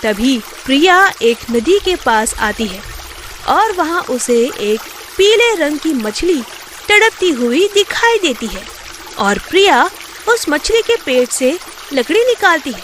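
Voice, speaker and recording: A woman's voice: high-pitched, very animated, slow, fairly bright tone, a little hiss or hum. A woman narrating a scripted children's story as a storyteller.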